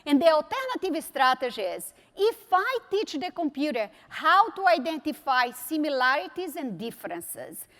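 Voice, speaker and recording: A woman's voice: sits high, very animated, moderate pace, few pauses, fairly bright tone. A woman from Brazil with a Brazilian Portuguese accent, giving a conference keynote speech with wry asides and emphatic repetition. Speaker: technology executive keynote speaker. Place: large conference hall. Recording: reverberant hall sound.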